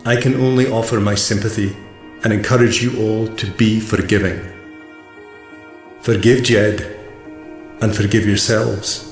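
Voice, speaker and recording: A man's voice: fairly low pitch, fairly even intonation, a little slow. A man playing a solemn, sympathetic British coroner in a radio drama, speaking formally and measuredly. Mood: solemn, compassionate. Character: solemn, sympathetic male coroner delivering a verdict. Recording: echoey room under music.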